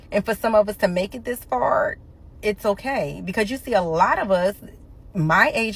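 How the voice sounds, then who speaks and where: A woman of fifty speaks straight into her phone in a casual, emphatic rant. Her voice sits a little high and swings up on her strongest points. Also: a bit bright. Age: 50s. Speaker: commentator.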